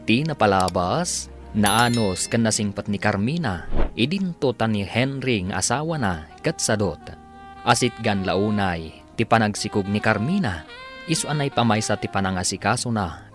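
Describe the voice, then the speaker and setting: A man's voice: on the low side, lively intonation, moderate pace. A man with an Ilocano accent narrating and announcing a radio serial in a dramatic scripted style. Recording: loud music bed under voice. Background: music.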